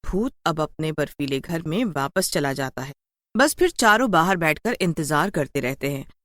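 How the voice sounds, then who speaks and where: Low-voiced woman narrating a spooky story. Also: expressive, moderate pace, a bit bright, dry recording. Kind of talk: scripted children's horror story narration.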